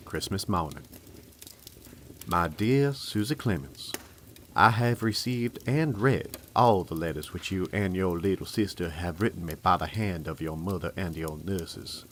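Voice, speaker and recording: Deep-voiced man reading a storybook letter. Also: measured pace, noisy background. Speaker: reader in a theatre company's audio series.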